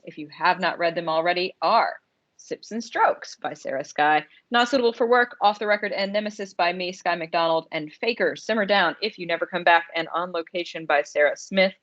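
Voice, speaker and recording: Woman cohosting a podcast, lowish voice, casual review chat, emphatic, kinda muffled lo-fi sound. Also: moderate pace, a bit bright. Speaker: podcast co-host. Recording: dull lo-fi audio.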